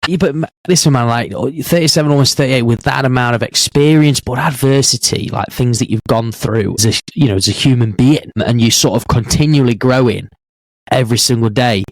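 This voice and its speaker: A man's voice: mid-range voice, fairly quick, no room sound, low-quality recording. Encouraging male podcast host, dry sound. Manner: encouraging, affirming interviewer. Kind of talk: casual interview chat.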